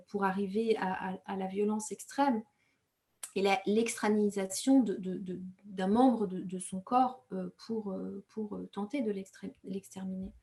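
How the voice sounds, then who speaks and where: A woman from Rwanda, raised speaking Kinyarwanda, giving thoughtful interview answers as an author, measured and pausing often to find her words. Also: mid-range voice, darkish tone. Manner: thoughtful, measured, with frequent hesitations and self-corrections.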